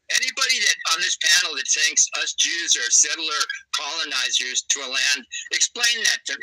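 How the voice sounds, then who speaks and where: A man's voice: on the high side, sharp tone, rough recording. A man from California arguing hard as a guest on a live panel over a video call, emphatic and swearing. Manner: angry, profane, emphatic. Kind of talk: heated live panel debate.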